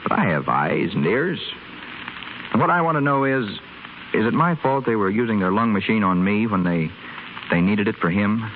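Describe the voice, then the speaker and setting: A man's voice: lowish voice, expressive, normal speed, lightly acted. An actor playing a spoiled, restless young rich man in a hospital, sardonic and wisecracking, in 1930s radio drama style. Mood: bitter. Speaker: hospital patient. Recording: tinny, faintly hissy 1930s radio broadcast.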